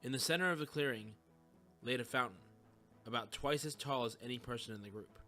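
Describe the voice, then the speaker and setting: A man narrates a scripted story in a medium-pitched voice. Music sits behind the narration. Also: a little fast, sharp tone.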